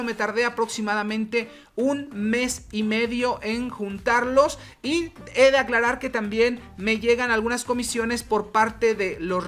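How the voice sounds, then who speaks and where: A woman with a somewhat high voice narrates a screen-recorded walkthrough. She explains each step in a steady, even way and speaks directly to the viewer as the channel host. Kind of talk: screen-recorded tutorial voice-over.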